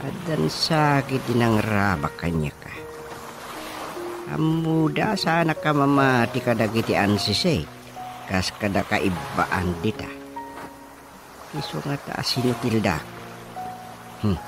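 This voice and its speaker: A man's voice: mid-range voice, expressive, takes it slowly, long pauses, over music. A man playing a fretful old father in a comic radio drama, whining, muttering and exclaiming. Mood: grumbling, puzzled. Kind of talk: comedic radio drama acting.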